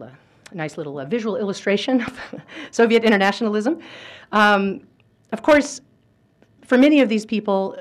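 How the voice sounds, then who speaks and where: A middle-aged woman giving an academic history lecture in a lecture hall, talking thoughtfully with fillers and restarts. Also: on the low side.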